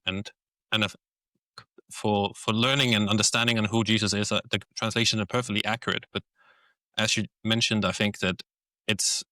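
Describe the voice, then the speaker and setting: A Danish man with a Danish accent hosts a relaxed conversation. His voice sits low yet carries a bright, forceful edge. He thinks aloud, restarting phrases as he searches for the right words. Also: dry recording. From Denmark. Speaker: podcast host. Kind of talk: casual conversation.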